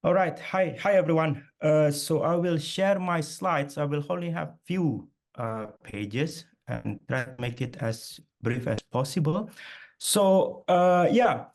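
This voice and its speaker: A man's voice: on the high side, slow pace. Hesitant man presenting over a video call. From Indonesia. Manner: hesitant, many fillers and restarts. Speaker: guest speaker. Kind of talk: webinar presentation.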